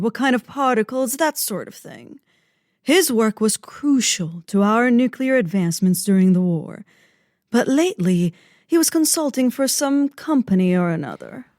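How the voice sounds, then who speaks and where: A theatrical, high-voiced wealthy widow. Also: female voice, lively intonation, moderate pace, darkish tone, dry recording, clean studio sound. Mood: defensive, wistful. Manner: theatrical, swooning, dramatic. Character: wealthy melodramatic widow, sultry and self-pitying. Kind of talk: parody of old-time radio detective drama.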